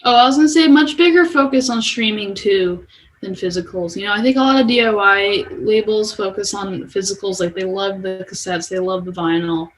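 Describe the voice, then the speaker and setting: A woman's voice: mid-range voice, fairly quick, rough recording. A woman explaining things informally, as a guest in a casual interview. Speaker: podcast guest, music company manager. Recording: clear background noise.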